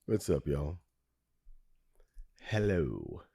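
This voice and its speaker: A man with a very low, raspy voice, worn hoarse by a cold, hosts his own podcast alone. He rambles from one aside to the next, correcting himself as he goes and chuckling often. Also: sing-song, drawn-out words, mellow, faint music behind. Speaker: podcast host. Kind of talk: casual solo podcast monologue.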